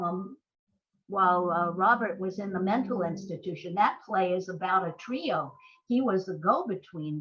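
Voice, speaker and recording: An older woman talking as an interview guest, telling stories conversationally in halting, reflective phrases. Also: medium pitch, highs cut off. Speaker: playwright and screenwriter guest.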